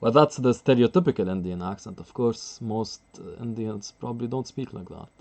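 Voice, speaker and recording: A man's voice: on the low side, moderate pace, creaky, a little mellow. A man streaming live chess commentary in a casual way, chuckling often and answering his chat.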